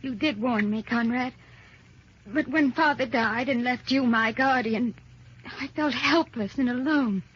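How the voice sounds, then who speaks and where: A high, very husky voice an earnest young wife in an old radio melodrama. She pleads in a theatrical, anguished romantic manner. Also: a little slow, fairly bright tone. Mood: torn, anguished. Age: young adult. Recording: dull old radio recording.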